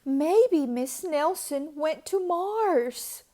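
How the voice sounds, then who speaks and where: A woman voicing an anxious, imaginative young schoolchild in a storybook, earnest and excitable. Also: sits high, drawn-out words, pitched above the performer's own voice, exaggerated voice. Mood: worried wondering.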